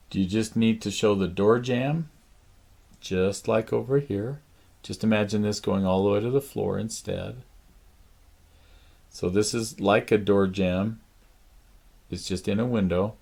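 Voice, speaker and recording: A man teaching a drawing lesson, thinking aloud slowly with many pauses, his voice raspy. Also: lowish voice, a little mellow. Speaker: art teacher. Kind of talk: drawing lesson, talking while sketching. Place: art studio.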